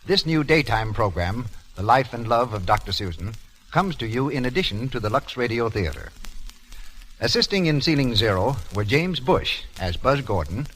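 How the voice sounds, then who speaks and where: A man's voice: medium pitch, big pitch swings, normal speed, a bit bright, poor audio. A man with an American accent reading scripted announcements and advertising copy as a 1930s radio announcer.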